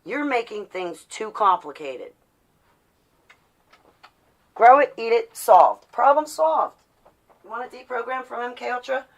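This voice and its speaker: A woman's voice: a little high, lively intonation, a little slow, creaky, long pauses. A woman in her forties with an American accent talking casually and bluntly, rambling in a defiant way with rhetorical questions. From New Jersey, United States. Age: 40s. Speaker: vlogger. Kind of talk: casual rambling personal talk.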